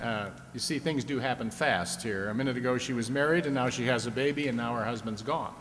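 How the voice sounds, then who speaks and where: A man's voice: mid-range voice, fairly quick, fairly bright tone, lightly acted. A man playing a genial, teasing game show host on a 1950s style radio audience show, with playful, folksy patter. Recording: echoey hall, clear background noise.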